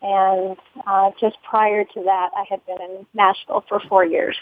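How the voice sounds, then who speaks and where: A woman's voice: medium pitch, little pitch movement, measured pace, no room sound. Chatty woman on a tinny phone line. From United States. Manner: chatty and rambling, laughs often. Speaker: musician guest. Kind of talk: phone interview. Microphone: telephone.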